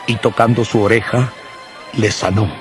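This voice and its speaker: A man narrating scripture from a script in a solemn, measured manner. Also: lowish voice, steady tone. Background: music.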